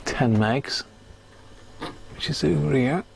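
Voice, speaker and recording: A man's voice: mid-range voice, slow pace, vocal fry, a little hiss or hum. A British man muttering and thinking aloud in casual live commentary while working at a bench, as a stream host.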